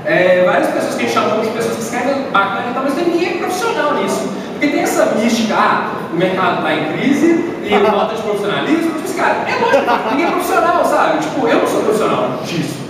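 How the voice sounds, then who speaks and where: Young male panelist, quick slangy delivery, recorded from far off in a big hall. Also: extremely high pitch, a loud crowd. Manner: animated, slangy, talks with hands. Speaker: panel speaker. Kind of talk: casual convention talk. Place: large hall on a stage. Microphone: handheld mic. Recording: echoey hall from far off, noisy.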